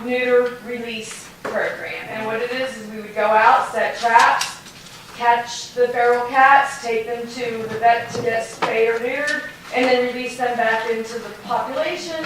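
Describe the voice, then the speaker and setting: A woman animal advocate explaining a cat program at a city meeting, with a loud, earnest voice. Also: fairly high pitch, background noise. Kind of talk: public comment at a meeting. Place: council chamber. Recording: very echoey hall, distant.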